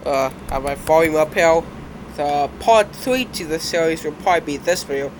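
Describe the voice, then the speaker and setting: Young man, stuffed up from a cold, slightly high voice, halting casual rambling, noisy home recording. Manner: rambling, halting, uneven loudness. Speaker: reaction video host. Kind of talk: casual reaction commentary. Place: indoors at a computer.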